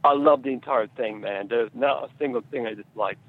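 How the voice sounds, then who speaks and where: Male screenwriter guest, halting delivery with fry, muffled low-fidelity recording. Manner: halting, repeats words and phrases. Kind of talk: conversational interview answers.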